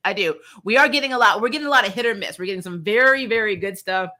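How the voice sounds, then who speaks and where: A woman's voice: mid-range voice, racing. A woman hosting a casual pop-culture review chat, joking and swearing freely as she talks. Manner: animated joking, swears freely, laughs often. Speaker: host of a review show.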